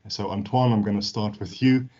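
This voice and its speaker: Male panel moderator, fairly low voice, welcoming, dull video-call audio. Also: steady tone, moderate pace, a little mellow. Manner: welcoming, introduces each panelist by name.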